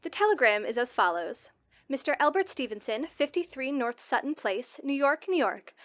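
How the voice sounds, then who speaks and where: A woman's voice: high voice, very animated, normal speed. A woman playing a polite, impersonal telephone clerk in an old radio drama, speaking formally through a telephone filter. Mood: brisk, formal. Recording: dull, band-limited phone-line sound.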